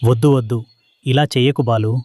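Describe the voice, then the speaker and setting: A man's voice: medium pitch, fairly even intonation, fairly quick, mellow, no echo, lightly acted. A man as an earnest, bullied cartoon pigeon. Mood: pleading. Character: kind, put-upon male pigeon being bullied. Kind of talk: children's cartoon voice acting.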